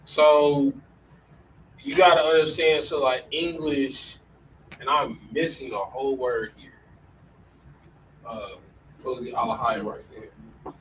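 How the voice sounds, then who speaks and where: A man's voice: mid-range voice, steady tone, slow, pauses often. A man teaching a classroom lesson in a casual way, often saying "all right" between points. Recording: distant mic with faint room noise.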